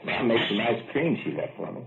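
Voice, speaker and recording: A man's voice: mid-range voice, big pitch swings, fairly quick, a bit bright. An actor playing an idle, folksy young American working man who daydreams in colloquial speech, in an old-time radio drama. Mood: easygoing. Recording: tinny, band-limited old radio recording with music.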